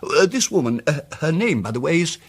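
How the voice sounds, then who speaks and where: An actor playing a timid, apologetic amateur detective in a radio drama, with an English accent and a hesitant, precise way of talking. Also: male voice, a little high, very animated, fairly quick, husky, heavily acted. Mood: careful, explanatory.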